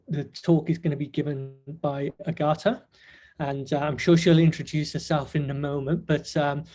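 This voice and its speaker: A hoarse man hosting a webinar over a video call, halting with many fillers. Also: a little high, a little mellow. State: hoarse, nearly losing his voice. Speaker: webinar host. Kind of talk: casual webinar talk. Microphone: webcam video call.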